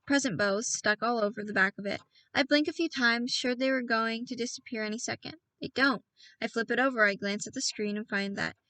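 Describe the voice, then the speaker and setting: Bright-voiced chatty woman reading aloud. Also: on the high side, no echo, far from the mic, low-quality recording. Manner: chatty, self-interrupting, playful with voices. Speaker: audiobook reader. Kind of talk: casual audiobook reading.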